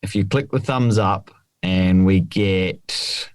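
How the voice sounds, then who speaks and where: A man's voice: low voice, takes it slowly, smooth, no echo. A man co-hosting a golf podcast, precise about the rules and dryly teasing his co-host. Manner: precise, correcting, dry teasing. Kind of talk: casual podcast chat.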